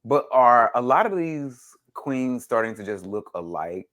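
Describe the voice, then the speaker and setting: A man talking casually on a group recap podcast, with very lively, swooping intonation and a medium pitch. His talk is slangy and loose, with some swearing. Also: measured pace. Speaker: podcast panelist.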